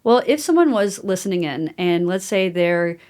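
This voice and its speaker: A woman's voice: lowish voice, expressive, moderate pace, a little mellow. A woman podcast host chatting casually and affirmingly in a conversational interview, often dropping in "like".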